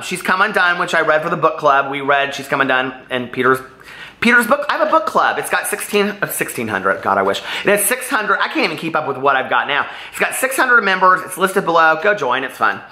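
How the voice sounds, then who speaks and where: A man's voice: fairly high pitch, pushed and bright, echoey room. A man chatting casually and animatedly as a book vlogger, rambling off on tangents.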